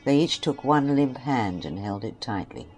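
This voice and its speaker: A woman's voice: very deep voice, big pitch swings, moderate pace, a little mellow, faint music behind. A woman reading scripted story narration for an amateur audio drama.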